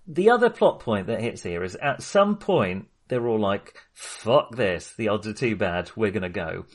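A man's voice: on the low side, animated, measured pace. A man co-hosting a film discussion, talking casually and analytically as he thinks aloud in an informal, chatty way. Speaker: film podcast co-host.

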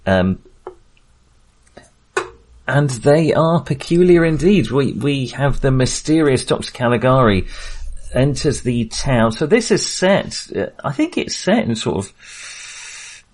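A man hosting a podcast in a casual discussion style, halting and often searching for words. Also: mid-range voice, sing-song, darkish tone. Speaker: podcast host.

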